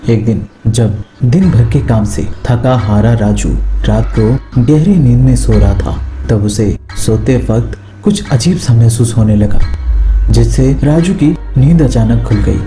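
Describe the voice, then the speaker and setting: A man narrating a scripted horror story as a storyteller. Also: mid-range voice. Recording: music behind the voice. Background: background music.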